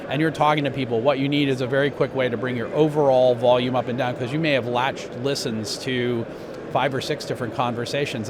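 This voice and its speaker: Man explaining products amid clear background noise. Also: mid-range voice. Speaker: company president being interviewed.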